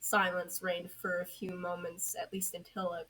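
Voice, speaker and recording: A woman's voice: lowish voice, monotone, moderate pace, fairly bright tone, a little hiss or hum, poor audio. A woman reading scripted fan fiction narration as an amateur narrator, occasionally stumbling over words.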